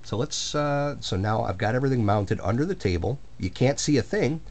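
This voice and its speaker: A man's voice: mid-range voice, lively intonation, fairly quick, a little mellow. A man casually narrating a demonstration of his hobby project in a plainspoken, explanatory way. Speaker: hobbyist restorer of antique radios.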